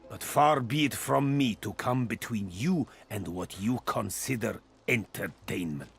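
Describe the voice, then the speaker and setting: A man playing a wary, grave adviser in a fantasy video game, gravelly and measured, with dry disapproval. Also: on the low side, expressive, faint music behind. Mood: ominous warning. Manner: measured, ominous, dryly disapproving. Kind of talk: video game character acting.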